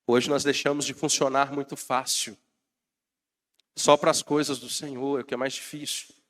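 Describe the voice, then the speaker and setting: A man's voice: medium pitch, level tone, moderate pace, fairly bright tone. A Brazilian man preaching a sermon as pastor, rousing and calling out to his congregation, while he has a bad cold. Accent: Brazilian Portuguese.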